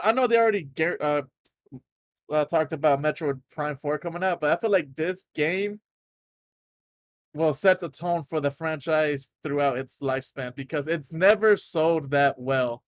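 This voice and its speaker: A man co-hosting a casual gaming podcast, loud and animated, tripping over restarts as he makes his points. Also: on the high side, moderate pace, no room sound, clean studio sound. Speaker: podcast co-host. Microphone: desk mic, podcast setup.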